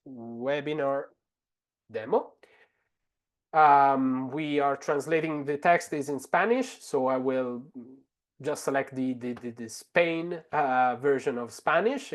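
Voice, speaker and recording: A male webinar presenter with an Italian accent, a native speaker of Italian. His voice sits at a middle pitch. He explains each step of a software demonstration in a halting, instructive manner. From Italy.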